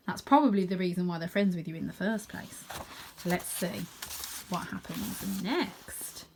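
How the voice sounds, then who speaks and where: A woman's voice: fairly low pitch, unhurried, vocal fry, slight background noise, rough recording. A woman reading a children's storybook aloud expressively, with chatty asides to her listeners. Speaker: reader of children's stories.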